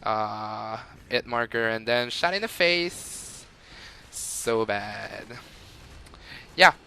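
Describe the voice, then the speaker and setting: A young man with a light Quebec French accent talks over his own gameplay. His voice is bright and forward, and he rambles with fillers and chuckles. There is a faint hiss under it. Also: lowish voice, lively intonation, extremely slow, gravelly. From Montreal, Canada. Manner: rambling and chatty, with frequent fillers and laughs. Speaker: gamer. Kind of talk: gameplay commentary.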